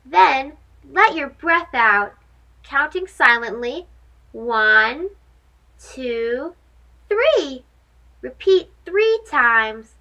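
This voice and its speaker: A woman's voice: sits high, animated, extremely slow, pauses often, sharp tone, muffled recording. A young woman hosting a children's read-aloud, talking in a sing-song way to little listeners as she reads a picture book.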